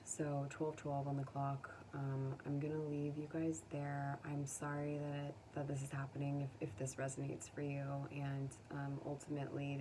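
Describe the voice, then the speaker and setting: A woman giving a casual tarot reading to viewers, speaking gently and consolingly in an informal way. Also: rumbling low. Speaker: tarot reader. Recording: distant mic, faint background noise.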